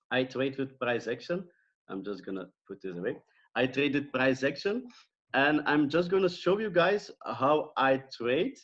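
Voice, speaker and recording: A man's voice: medium pitch, highs cut off. Upbeat Belgian man teaching trading. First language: Dutch. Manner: upbeat, repeats phrases and checks in with "okay?". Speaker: trading educator. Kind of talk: live online trading lesson.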